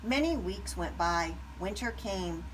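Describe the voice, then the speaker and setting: Slow, animated woman reading a storybook. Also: mid-range voice, far from the mic, low-quality recording. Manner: expressive, deliberate phrasing. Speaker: read-aloud storyteller. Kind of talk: scripted children's story narration. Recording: background noise.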